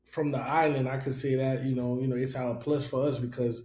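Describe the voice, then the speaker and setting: A man's voice: medium pitch, level tone, a little fast, darkish tone. A man from Haiti hosting a casual podcast chat, loose and rambling with lots of fillers. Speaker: podcast host. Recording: roomy echo.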